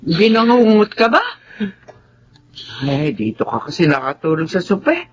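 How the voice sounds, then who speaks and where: A woman's voice: fairly low pitch, big pitch swings, moderate pace, a little hiss or hum. A woman playing a fussy, affectionate middle-aged aunt in a Filipino radio drama. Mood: concerned, exclaiming.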